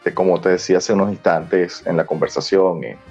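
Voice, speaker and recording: Fast-talking, low-voiced Venezuelan man. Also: a little mellow, breathy edge. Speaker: guest, screenwriter and former child actor. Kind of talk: conversational interview answers. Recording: dull lo-fi sound with music behind.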